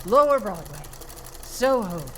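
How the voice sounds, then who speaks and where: Wry, exasperated New York woman, comedic radio drama, mid-pitched, over noisy workshop machinery. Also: big pitch swings, unhurried. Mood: indignant. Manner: wry, wisecracking, exclaiming. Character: sardonic, fed-up New York woman, novice sweatshop seamstress. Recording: noisy rattling sewing-machine workshop ambience.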